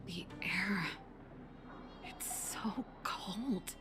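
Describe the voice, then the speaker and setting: A woman's voice: mid-range voice, extremely slow, whispered. A woman voicing a bitter, wounded researcher in a video game, dramatic and pained in delivery. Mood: uneasy, startled. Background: game music.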